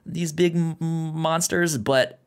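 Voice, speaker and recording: A man co-hosting a casual film discussion, talking haltingly with repeated words and false starts. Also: a little high, fairly even intonation, smooth. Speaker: podcast co-host.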